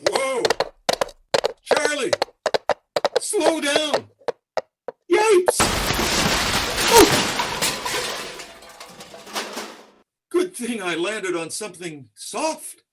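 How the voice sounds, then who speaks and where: A man playing a polite, excitable, flustered jockey in a comic radio play. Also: extremely high pitch, drawn-out words, long pauses, a little hiss or hum, full character voice. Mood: panicked. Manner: excitable, apologetic. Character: flustered, polite jockey thrown from his horse. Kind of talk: comic old-time radio play acting.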